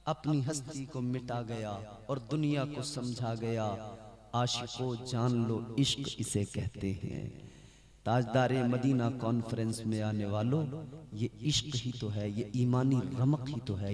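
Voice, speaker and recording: A man's voice: mid-range voice, a little mellow. A man preaching and praying at a religious gathering in an emphatic, rhetorical, repetitive way. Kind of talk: impassioned sermon and supplication at a religious gathering. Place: large religious gathering at night.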